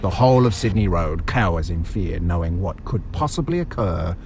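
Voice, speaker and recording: A man playing a maniacal butcher villain in an audio drama, taunting in a theatrical, drawn-out way. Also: sits low, lively intonation, background noise. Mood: gloating. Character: menacing maniacal butcher villain, gloating over his victim.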